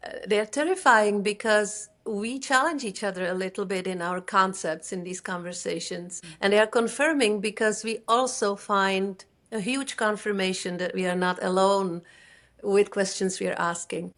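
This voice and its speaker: A middle-aged woman with a Czech accent, a native Czech speaker and executive coach, talking thoughtfully as an interview guest and sometimes pausing to find English words. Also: medium pitch, a bit bright. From Czech Republic. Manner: thoughtful, searching for words, careful phrasing. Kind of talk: reflective interview conversation.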